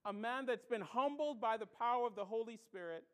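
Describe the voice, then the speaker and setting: A woman from the Bahamas delivers a sermon with a high, bright voice. She preaches emphatically and with lots of animation, leaning on rhetorical questions to drive each point home. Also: fairly quick.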